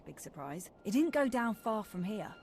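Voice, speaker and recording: A woman's voice: medium pitch, very animated, normal speed, a little hiss or hum. An actress playing a wry, battle-hardened female major in a video game, with dry, informal, clipped delivery. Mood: dry, sardonic. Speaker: military officer character in a video game. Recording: loud game music behind. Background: loud music.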